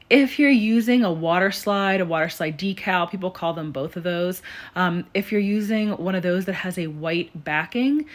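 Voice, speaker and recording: A young woman, slightly raspy because she is sick, hosting a chatty, self-deprecating nail tutorial voice-over. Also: on the low side. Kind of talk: casual how-to voice-over.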